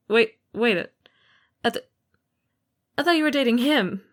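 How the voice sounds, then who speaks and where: A woman acting as a teasing, easygoing older sister in an audio roleplay, with playful, exaggerated reactions. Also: high-pitched. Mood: shocked.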